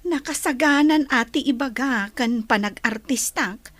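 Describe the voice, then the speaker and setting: A woman with an Ilocano accent acting a scheming, eager grown daughter in a radio drama, coy and deliberate. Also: high-pitched, fairly bright tone, no echo. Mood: determined.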